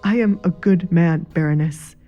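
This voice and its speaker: A woman's voice: on the low side, normal speed, highs cut off, soft background music, close to an everyday voice. A woman voicing an honest male butler who has been wronged, earnest and defensive, in an audio drama. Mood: indignant, pleading.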